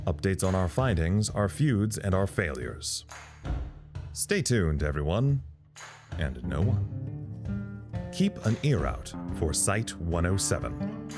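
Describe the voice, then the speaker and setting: An actor playing a wryly philosophical staffer at a trapped research site, musing dryly in an audio drama log. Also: male voice, sits low, sing-song, takes it slowly, long pauses, over music, heavily acted. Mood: wry resolve. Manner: musing, dry, wry.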